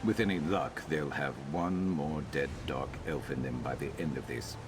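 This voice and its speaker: A man playing a gruff, loyal Argonian lizard-man in a video game, speaking in a measured way with dry wit. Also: a bass voice, noisy background. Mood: dry, wry. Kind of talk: video game character dialogue.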